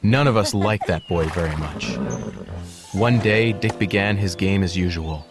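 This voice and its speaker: A man playing a gentle horse who narrates his life story in a measured, earnest storybook style. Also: deep voice, over music. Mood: reflective.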